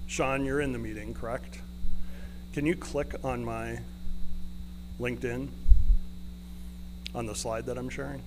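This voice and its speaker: A man's voice: medium pitch, noisy background. A man presenting an interactive tech session to a live conference audience.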